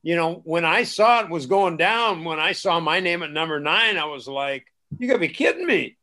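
A man with a notably high speaking voice chats casually on a podcast. He tells old stories with animation, often doubling up words as he gets going. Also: lively intonation, moderate pace, bright, forceful tone. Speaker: co-host, former wrestling interviewer.

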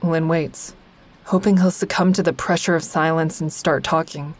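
A woman's voice: deep voice, steady tone, moderate pace. A woman playing an introspective therapy-group member who narrates her own story in a confiding, reflective way for an audio drama. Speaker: narrator and lead actor.

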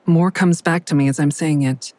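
A woman's voice: sits low, normal speed, no echo, lightly acted. Actress as a wry woman narrator, close and dry. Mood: wry, confiding. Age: adult. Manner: dry, deadpan. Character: wry grown daughter narrating her own story. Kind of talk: first-person scripted drama narration.

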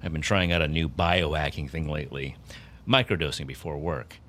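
An actor playing a smug, condescending male boss in an audio drama, suspicious and self-absorbed, with a halting way of talking. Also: rumbling low, fairly bright tone. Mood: loosening up. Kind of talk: naturalistic audio drama acting.

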